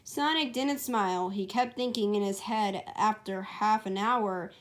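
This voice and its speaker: A woman's voice: mid-range voice, a little slow. A woman narrating her amateur story as writer and storyteller, informal and self-correcting, with casual asides.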